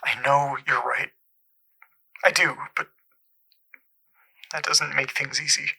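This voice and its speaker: A man playing a regretful, pleading caller to a radio show in an audio drama, talking earnestly and haltingly in a tense, creaky voice. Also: mid-range voice, fairly even intonation, pushed and bright, heavily acted. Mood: resigned. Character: regretful, pleading male caller desperate for a second chance. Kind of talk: scripted audio drama, naturalistic acting.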